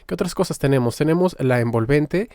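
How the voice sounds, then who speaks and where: Mellow-voiced man giving a software tutorial. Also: fairly high pitch, a little fast, clear, resonant tone, no echo, studio quality. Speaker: music software tutorial instructor. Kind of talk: informal screen-recorded software tutorial.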